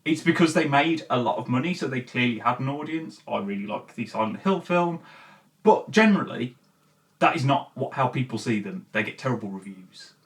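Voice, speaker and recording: A person presenting a video essay as host, in an informal, opinionated and halting way with emphatic stresses, chatting straight to viewers. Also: mid-range voice, animated, recorded from a distance. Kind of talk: video essay commentary, casual chat to viewers.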